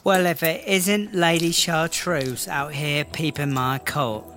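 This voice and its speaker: Cocky female rap rival, played by a man, British accent, very high voice, theatrical, taunting, audio comedy, music underneath. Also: fairly even intonation, takes it slowly, pushed and bright. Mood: smug taunting. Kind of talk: comedy audio drama acting. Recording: music behind the voice.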